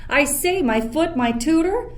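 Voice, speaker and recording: Woman acting an imperious high-voiced sorceress mother. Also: lively intonation, measured pace. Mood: angry, commanding. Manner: imperious, theatrical. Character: commanding, scornful sorceress mother, lord of an island. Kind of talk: Shakespearean radio play acting. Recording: faint background noise.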